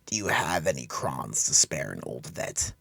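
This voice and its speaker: A man voicing an elderly male assassin in a story, bitter and desperate, in a low, gravelly voice. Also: sing-song, normal speed, bright, forceful tone, no room sound, full character voice. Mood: feeble. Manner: feigned feeble, then growling.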